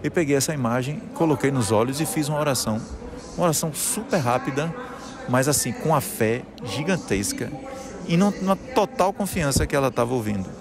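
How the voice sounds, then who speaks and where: A man's voice: mid-range voice, animated, brisk, crowd noise. A middle-aged man from Salvador, earnestly telling his personal story in an interview and often restarting his phrases. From Salvador, Brazil. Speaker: guest, musician and conductor. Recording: music playing behind the voice.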